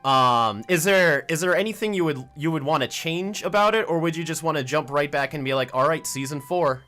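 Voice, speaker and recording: A man's voice: on the high side, lively intonation, brisk, sharp tone, soft background music. A man in his twenties hosting a casual fan interview, informal and a little hesitant, repeating words as he phrases thoughts. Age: 20s. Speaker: interviewer, fan show host. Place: home office.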